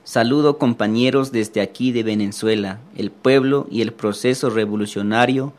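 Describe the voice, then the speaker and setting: A man reading listener letters aloud on the radio in a steady, formal way. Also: mid-range voice, moderate pace.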